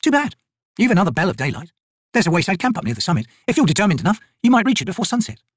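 Man voicing a blunt woman soldier, pitched way up. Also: sing-song, rapid-fire, no echo, clean studio sound. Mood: teasing, blunt. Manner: blunt, teasing, commanding. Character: tough, brisk woman soldier, a blunt corporal. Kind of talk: audiobook character voice.

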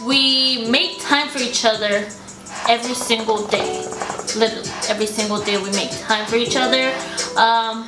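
A young American woman with a fairly high voice chats in a slangy, playful way. The room adds clear echo, and music runs behind her talk. She sounds like someone co-hosting a casual home vlog. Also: fairly even intonation, moderate pace. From United States. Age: young adult. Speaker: vlogger, co-host. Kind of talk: casual chat to camera. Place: living room couch, indoors.

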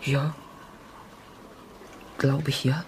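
An actress playing a guarded, loyal Ukrainian woman witness who answers in short, halting phrases with a Ukrainian accent. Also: very deep voice, level tone, a little mellow, a little hiss or hum, exaggerated voice. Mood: earnest.

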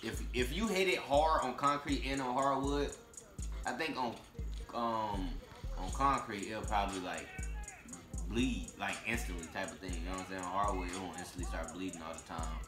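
Low-voiced young American man, roomy sound. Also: moderate pace. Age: young adult. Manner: slangy, laid-back, thinking aloud. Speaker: reaction video host. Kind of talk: casual, off-the-cuff reaction commentary. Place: room with leather couch and large windows, indoors. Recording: roomy echo, music behind.

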